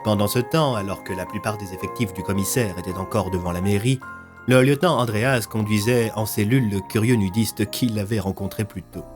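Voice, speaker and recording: A man's voice: lowish voice, a little fast, over music. A man narrating a scripted audio fiction as a storyteller.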